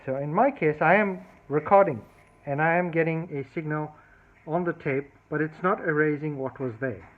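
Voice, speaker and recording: A narrow, phone-like recording of a man with a slightly high voice. He walks through a technical repair in an unscripted, methodical way, thinking aloud as he demonstrates each step. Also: normal speed, slight background noise. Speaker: electronics repair hobbyist demonstrating a fix. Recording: thin phone-like band-limited sound.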